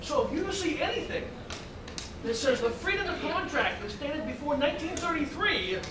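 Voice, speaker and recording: Guy lecturing on zoning law at a town meeting, loud and skeptical, far mic, lots of room noise. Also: extremely high pitch, animated, normal speed, roomy sound. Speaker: attorney. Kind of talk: explaining law at a town forum.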